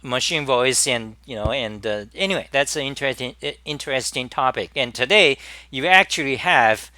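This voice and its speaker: A man with a Chinese accent hosting a live-stream talk, speaking in halting bursts with many restarts and repeated words. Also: mid-range voice, sharp tone, no echo. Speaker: host.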